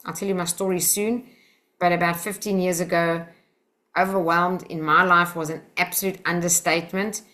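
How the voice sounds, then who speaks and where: A South African woman leads an online class over a video call. Her voice sits a little low, and she talks in a direct, encouraging, chatty way, guiding listeners step by step. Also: fairly even intonation, normal speed, bright, forceful tone. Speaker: Silva Method instructor.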